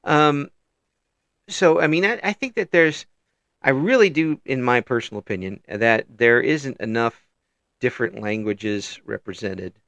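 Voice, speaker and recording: A man hosting a casual interview, talking in a halting way with many fillers and restarts, chuckling now and then. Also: mid-range voice, fairly bright tone, dry recording. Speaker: interview host.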